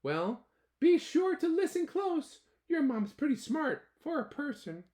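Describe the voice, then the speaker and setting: A man's voice: very high voice, very animated, a little slow, darkish tone, pitched above the performer's own voice, full character voice. A man doing an eager little boy ant. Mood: cheerful. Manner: eager, then solemn preachy. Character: young boy ant, earnest, talkative. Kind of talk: children's storybook reading.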